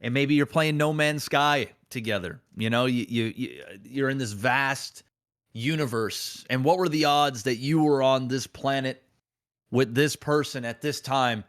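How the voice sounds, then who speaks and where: A man's voice: medium pitch, unhurried, a bit bright. A man co-hosting a gaming podcast, telling a long joking story in a rambling, casual way. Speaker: podcast co-host.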